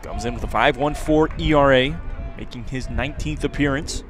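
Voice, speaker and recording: A man's voice: medium pitch, moderate pace, fairly bright tone, soft background music. A man giving live play-by-play commentary as a baseball radio broadcaster. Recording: clear background noise. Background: outdoor ballpark ambience.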